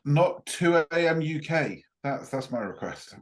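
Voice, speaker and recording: A man's voice: a little high, lively intonation, measured pace. A man from Dubai chatting on a video call in a joking, hesitant way. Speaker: guest participant. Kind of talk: casual chat.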